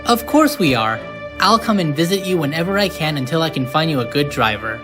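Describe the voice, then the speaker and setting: A woman's voice: sits low, big pitch swings, a little fast, over music. A woman voicing a young, eager and friendly male steam engine in a cartoon dub. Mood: cheerful. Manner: eager, sincere.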